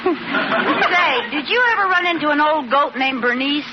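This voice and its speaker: A scheming, insistent young housewife in a vintage radio comedy. Her voice is high, and the dull, noisy recording has a clearly audible audience behind her. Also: lively intonation, a little slow, over a noisy crowd. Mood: curious. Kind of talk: 1940s-50s radio sitcom acting.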